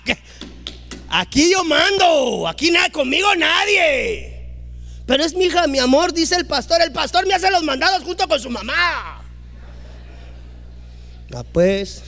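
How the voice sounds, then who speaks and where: High-voiced man preaching emphatically. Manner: emphatic, rising to loud exclamations, acting out quoted dialogue. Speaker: pastor. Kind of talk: preaching a sermon.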